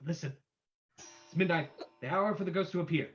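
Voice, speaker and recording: A performer playing a calm, suave male detective, formal and probing, in a radio drama reading. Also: medium pitch, faint music behind, lightly acted. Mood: suave, teasing. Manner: formal, measured questioning. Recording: dull lo-fi sound.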